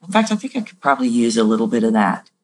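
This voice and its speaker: A woman voice coach answering listener questions on her podcast, candid and self-deprecating, jumping her voice up and down to demonstrate pitches. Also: big pitch swings, racing.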